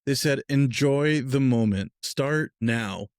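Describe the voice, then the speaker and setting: A man from Peru hosting a casual podcast interview, relaxed and upbeat, talking loosely with fillers. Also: mid-range voice, slow pace, no echo, clean studio sound. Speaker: podcast host.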